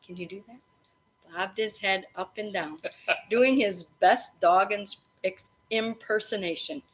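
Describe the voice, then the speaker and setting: A woman teacher reading a storybook aloud to children expressively, pausing to explain and prompt her listeners. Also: medium pitch, low-quality recording. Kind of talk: interactive children's read-aloud of a storybook, with questions and asides. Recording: faint background noise.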